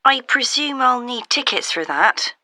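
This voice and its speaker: A woman's voice: on the high side, expressive, measured pace, bright, forceful tone, no room sound, clean studio sound, full character voice. A woman playing a keen, polite visitor making enquiries in an acted listening exercise, eager and courteous. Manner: eager, courteous, clearly enunciated. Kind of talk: acted listening-test dialogue.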